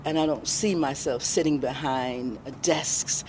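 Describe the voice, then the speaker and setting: A middle-aged American woman answering interview questions as a guest, reflective and deliberate, pausing for emphasis. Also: low voice, a little mellow, a little hiss or hum. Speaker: interview guest, independent filmmaker.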